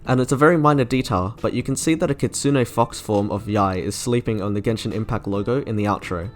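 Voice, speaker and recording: A man's voice: mid-range voice, a little fast. A man delivering scripted commentary as the host of a video reviewing game character trailers. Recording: music under the voice. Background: background music.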